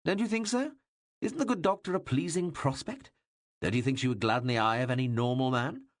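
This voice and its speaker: A male narrator voicing a bawdy, teasing king who mocks and needles those around him in a loud, playful way. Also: mid-range voice, fairly quick, no echo, clean studio sound, pitched above the performer's own voice, natural voice. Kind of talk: audiobook reading.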